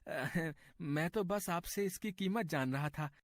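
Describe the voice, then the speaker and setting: A man's voice: fairly high pitch, slow, dry recording. A man playing a troubled, humble villager in a moral story, earnest, polite and hesitant. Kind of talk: dramatized Hindi moral story. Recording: faint music behind the voice.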